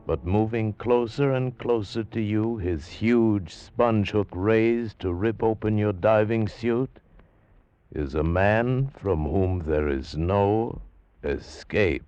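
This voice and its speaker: A man narrating deliberately and ominously in old radio suspense style. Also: fairly low pitch, a little mellow. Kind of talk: dramatic scripted second-person radio narration. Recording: dull old radio broadcast.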